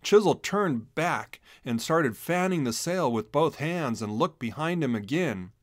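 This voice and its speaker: A man reading a children's storybook aloud in an expressive, dramatic narrating style. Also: a little high, a little slow, dry recording, clean studio sound. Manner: animated, dramatic delivery. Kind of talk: expressive scripted narration for a read-along storybook.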